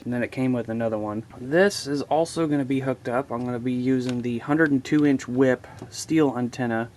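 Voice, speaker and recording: Casting: everyday hobbyist man, mid-pitched, chatty and rambling, home vlog with faint hiss behind. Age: adult, married father. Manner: rambling, folksy, talks to viewers directly. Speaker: radio hobbyist vlogger. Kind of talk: casual show-and-tell vlog. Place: indoors at home.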